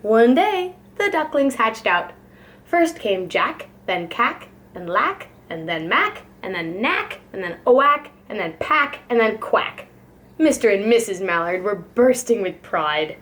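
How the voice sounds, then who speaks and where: A woman's voice: mid-range voice, big pitch swings, takes it slowly, a bit bright. A woman reading a children's picture book aloud like a preschool teacher, expressively and with care for young listeners.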